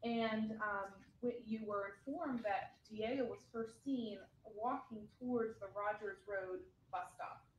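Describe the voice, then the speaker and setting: A woman's voice: mid-range voice, measured pace, hardly any pauses. Woman cross-examining in an echoey courtroom. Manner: leading questions with frequent ums. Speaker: defense attorney.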